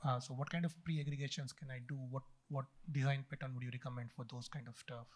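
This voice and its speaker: Male audience questioner, mid voice, halting and rephrasing. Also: mid-range voice, level tone. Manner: rephrases and restarts, searching for words. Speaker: audience member asking a question. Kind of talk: technical question from the floor.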